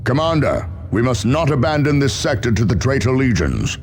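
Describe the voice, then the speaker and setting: An actor playing a resolute, stern space marine captain in a video game, giving authoritative, urgent orders over a transmission. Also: male voice, mid-range voice, big pitch swings, moderate pace. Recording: slightly noisy transmission.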